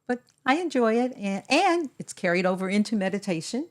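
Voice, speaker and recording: A woman co-hosting a podcast, speaking in a measured, deliberate way as she gives advice in casual conversation. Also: medium pitch, big pitch swings. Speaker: podcast co-host.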